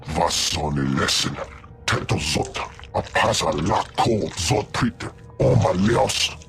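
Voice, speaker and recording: An actor voicing a gruff amphibian alien in a video game, speaking an invented tongue in a gravelly gurgle. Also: male voice, low voice, animated, slow, fairly bright tone, faint music behind, heavily acted. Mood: stern, defiant.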